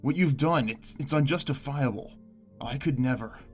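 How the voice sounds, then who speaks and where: A man playing a wounded young male dragon prince in an audio drama, speaking in pain, halting, fearful and accusing. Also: medium pitch, faint music behind, natural voice. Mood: pained accusation. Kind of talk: fan audio drama acting.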